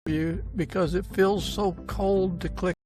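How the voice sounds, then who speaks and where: A man hosting a conversational podcast, with halting, gentle phrasing. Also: high voice, steady tone, faint music behind. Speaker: podcast host. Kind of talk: conversational interview and informal prayer.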